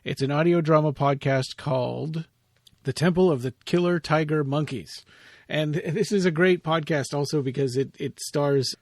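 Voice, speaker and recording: A man's voice: a little high, normal speed, darkish tone, no echo. Enthusiastic male podcast host, dry recording. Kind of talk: informal announcement to listeners.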